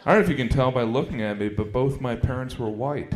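A man doing a stand-up routine on a club stage, deadpan and self-mocking, acting out quoted voices. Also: mid-range voice, fast. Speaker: stand-up comedian. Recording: echoey, noisy club recording.

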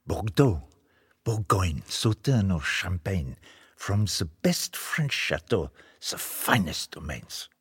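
A man playing a suave, fawning old devil with a raspy, pompous, theatrically courteous delivery in a very reverberant hall. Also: low voice, sing-song, takes it slowly, fairly bright tone, heavily acted. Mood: smooth, boastful. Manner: pompous, plummy, exaggerated courtesy.

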